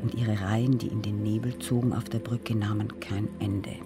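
A man reciting literary prose for a radio sound piece, solemn and measured, in short phrases. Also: fairly low pitch, level tone, distant mic. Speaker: reader of poetic prose. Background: music.

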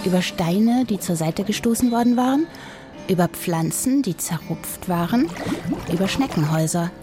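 A woman narrator telling a scripted children's radio play story in an expressive way. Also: on the low side, moderate pace, music in the background.

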